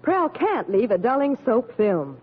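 A woman gives a polished commercial read in 1940s radio style. Her delivery is lively and emphatic, her voice a little high and husky, coming through a thin, tinny old broadcast. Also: measured pace. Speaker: commercial announcer.

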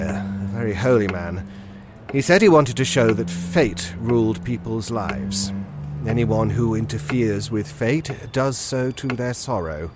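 An actor playing a grave, haunted sergeant major in a horror audio drama, speaking in a halting, ominous way. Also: male voice, mid-range voice, close to an everyday voice.

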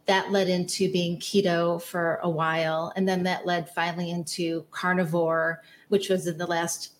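A woman in her forties tells her own story as an interview guest. She is open and talkative. Her voice sits a little low. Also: level tone, normal speed, a little hiss or hum. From North Shore of Chicago. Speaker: health coach guest. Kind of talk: casual interview.

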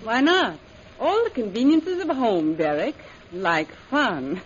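An actress playing a cool, shrewd, sardonic adventuress in a 1930s radio serial. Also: female voice, on the high side, big pitch swings, slow pace, husky, exaggerated voice. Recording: muffled noisy vintage radio recording.

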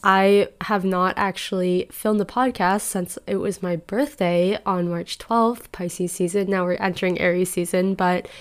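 A woman's voice: on the low side, steady tone, a little slow, hardly any pauses. A woman in her twenties hosting a solo podcast, talking through life advice in an earnest, chatty and reflective way into a podcast microphone. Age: 20s. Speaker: podcast host.

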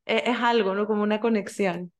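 A woman's voice: mid-range voice, fairly even intonation, brisk. Hesitant woman podcast host. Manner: hesitant, frequent tag questions and restarts. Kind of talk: interview hosting, reading a guest introduction.

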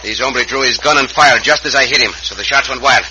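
An actor playing a gallant, commanding Mexican cowboy hero with a Mexican accent in an old radio western. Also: male voice, medium pitch, fast, pushed and bright. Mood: triumphant. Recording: noisy old radio recording.

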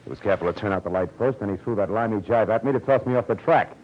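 Brief: man playing a tough American private eye for vintage radio noir, deep and rapid, wry and pushy. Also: close to an everyday voice. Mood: pressing. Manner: clipped, tough slang. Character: hard-boiled male private detective, wry and pushy. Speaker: lead detective and narrator. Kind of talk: 1940s radio noir acting and narration. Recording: muffled, noisy old radio recording.

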